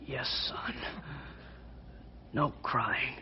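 An actor playing a dying father in a radio drama, weak, tender and devout, with a breathy, whispering voice struggling for air. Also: mid-range voice, fairly even intonation, extremely slow, heavily acted. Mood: weak, pleading. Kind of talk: old-time radio drama acting. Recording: dull lo-fi old radio recording.

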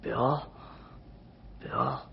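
An actor playing a dying father in a radio drama, weak, tender and devout, with a breathy, whispering voice struggling for air. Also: extremely slow, a little hiss or hum. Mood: weak, pleading. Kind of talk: old-time radio drama acting. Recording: dull lo-fi old radio recording.

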